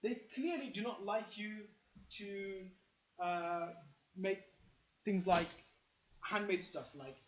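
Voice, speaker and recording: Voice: medium pitch, slow pace, pauses often, fairly bright tone, rough recording. A presenter recording an informal comparison voice-over, opinionated and emphatic, often repeating phrases to drive a point home. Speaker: tutorial presenter.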